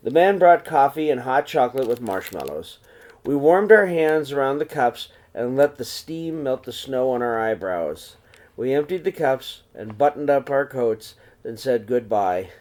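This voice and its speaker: A man reading a picture book aloud to young children in an expressive storytelling style. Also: medium pitch, measured pace.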